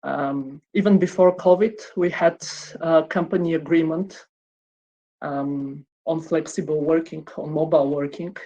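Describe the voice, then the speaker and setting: A woman gives a conference talk. Her pitch stays flat and level, and she often pauses on "um" and starts sentences over. Her delivery sounds measured and unhurried. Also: lo-fi sound. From Bulgaria. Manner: hesitant, many ums and restarts. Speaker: conference speaker.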